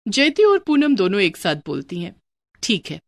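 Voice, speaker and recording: A female narrator tells a scripted children's story. Her pitch is average for a woman. The recording is dry, with hardly any room sound. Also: wildly swooping pitch, moderate pace, no room sound.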